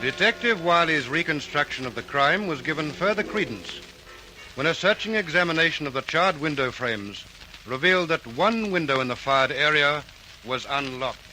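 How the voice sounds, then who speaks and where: Crime drama narrator, solemn, higher-pitched, very forceful tone, music underneath. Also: male voice, animated, moderate pace, rough recording. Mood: solemn, dramatic.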